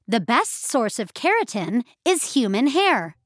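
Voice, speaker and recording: A woman's voice: on the high side, sing-song, unhurried, no room sound, studio quality. A woman voicing a coaxing, instructive fitness app assistant in an audio drama, matter-of-fact in manner.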